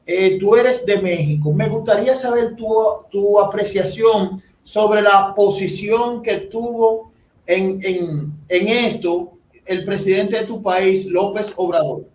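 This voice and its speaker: A man hosting an interview, deliberately laying out context as he builds up to his questions, recorded in a roomy space away from the microphone. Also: sits high, darkish tone. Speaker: host interviewer. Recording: roomy, distant mic, faint noise.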